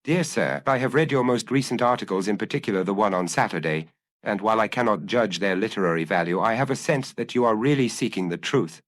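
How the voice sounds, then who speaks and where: A man's voice: fairly low pitch, fast, no echo. A man reading a detective story aloud as a scripted audiobook narration.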